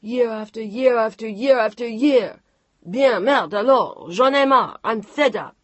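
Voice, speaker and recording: A reader voicing a jealous young Frenchwoman, shrill and shouting in an audiobook. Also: a little slow, no room sound, dull audio, close to an everyday voice. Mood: furious. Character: furious jealous French mistress, young woman. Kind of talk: audiobook character voice.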